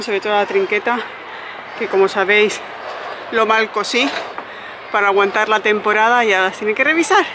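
A woman on a sailboat narrating in a brisk, matter-of-fact way as she takes down the sails. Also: a little high, noisy background. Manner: matter-of-fact, brisk, talks to her dog. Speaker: sailing vlogger. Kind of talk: casual narration while working. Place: outdoors on a sailboat.

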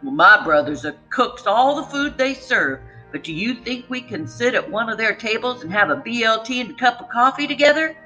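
A woman voicing a friendly, teasing Southern male street vendor in a storybook, folksy and animated. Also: fairly high pitch, moderate pace, few pauses, soft background music, voice pushed up, low-quality recording.

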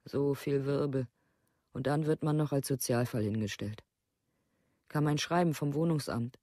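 A woman's voice: very deep voice, moderate pace, warm tone, no room sound, studio quality. An actress playing an embittered, plain-spoken village mother in a documentary radio play, blunt and repetitive. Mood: bitter, aggrieved.

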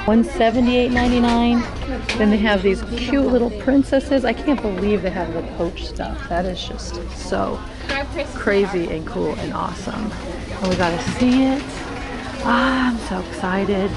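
A woman's voice: medium pitch, a little slow. A woman filming herself in a big indoor store on a body camera, eagerly gasping over finds and reading out prices. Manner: excited, gasps at finds, reads out prices. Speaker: vlogger showing outlet deals. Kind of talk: casual walk-through shopping vlog. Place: large indoor retail store. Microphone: body-worn action camera. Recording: music playing in the store behind her. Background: store music.

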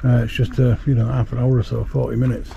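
A man's voice: mid-range voice, little pitch movement, quick pace, gravelly, lo-fi sound, background noise. A middle-aged man gives a rambling, casual fishing update to camera, outdoors at night. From England. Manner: rambling, chatty, with many fillers. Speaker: fishing vlogger.

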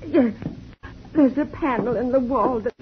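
A woman's voice: on the high side, measured pace, close to an everyday voice. An actress playing a distraught young woman who pleads tearfully, in a 1950 radio drama. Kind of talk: 1950s radio drama acting. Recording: dull noisy old radio recording.

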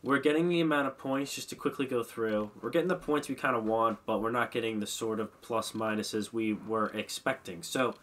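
A man's voice: mid-range voice, steady tone, brisk, hardly any pauses, fairly bright tone, a little hiss or hum. A young man slightly congested, rambling casually and self-mockingly while commentating a hockey management game at his desk mic. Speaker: gaming commentator.

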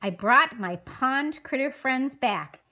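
A woman's voice: high voice, lively intonation, slow pace, sharp tone, full character voice. A woman voicing an eager, friendly fifth-grade schoolgirl in a scripted children's puppet show, explaining things enthusiastically. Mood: cheerful.

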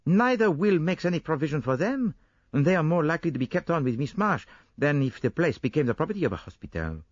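A man voicing an older, fussy and excitable Belgian detective with a pompous, theatrical manner. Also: fairly high pitch, fast, dry recording, lo-fi sound, voice pushed up. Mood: self-assured.

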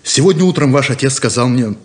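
An actor playing a proud, stubborn, independent small-town deputy sheriff in a 1970s radio play. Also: male voice, mid-range voice, animated, fast talker, darkish tone, natural voice. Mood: earnest. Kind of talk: 1970s Soviet radio theatre acting.

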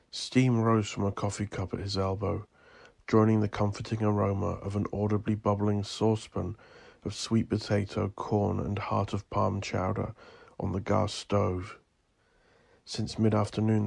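A man narrating scripted horror fiction in a theatrical, ominous manner, deliberately drawing out his phrases. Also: on the low side, vocal fry, a little mellow, husky, dry recording. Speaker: audio drama narrator.

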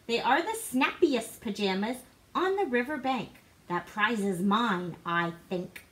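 A woman's voice: a little high, expressive, unhurried, a bit bright. A woman reading rhyming storybook text aloud for young children, playful and theatrical in her delivery. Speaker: guest co-reader. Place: living room indoors. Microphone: device camera a short distance away.

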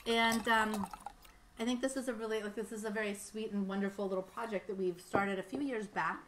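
A woman's voice: mid-range voice, steady tone, fairly quick, background noise. A woman in her thirties hosting a virtual wine tasting, talking in a bubbly, rambling way with many fillers. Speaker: winery host. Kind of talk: casual chat, virtual tasting. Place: winery tasting room, indoors. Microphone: tabletop mic on stand.

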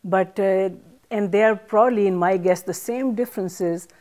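A woman's voice: medium pitch, moderate pace, darkish tone. An older woman from Pakistan, a chef and author, answering interview questions thoughtfully with frequent fillers, on a studio set with a lapel mic. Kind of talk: interview conversation. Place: studio set with armchairs and plants.

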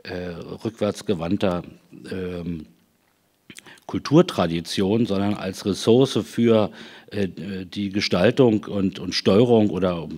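A man in his sixties moderating a panel and commenting, pausing often to search for his words, speaking into a handheld microphone on stage. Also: deep voice, darkish tone. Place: auditorium stage at a public panel.

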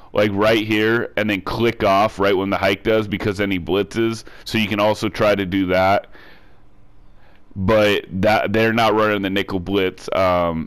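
A man's voice: fairly low pitch, smooth. Stuffy-nosed man explaining game tactics. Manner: repetitive step-by-step instruction with frequent restarts. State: congested from a cold. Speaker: gaming tutorial creator. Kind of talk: casual game tutorial voice-over.

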